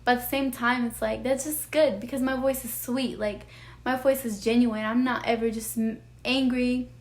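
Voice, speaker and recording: Young woman vlogger, soft and childlike, a bit high, earnest and rambling. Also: steady tone, moderate pace. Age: young adult. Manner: earnest, rambling, full of "like" and "you know". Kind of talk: heartfelt personal talk to camera.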